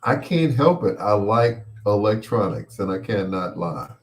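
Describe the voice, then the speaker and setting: A man's voice: on the low side, lively intonation, a little slow. A man hosting a personal storytelling show in a casual, rambling, playful way, sometimes acting out what people said. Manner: playful, acts out quoted voices.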